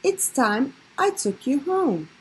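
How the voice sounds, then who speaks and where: A woman voicing a fond, earnest little girl in a storybook, affectionate and gently scolding. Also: high voice, sing-song, slow, pitched above the performer's own voice, full character voice, rough recording. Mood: overjoyed.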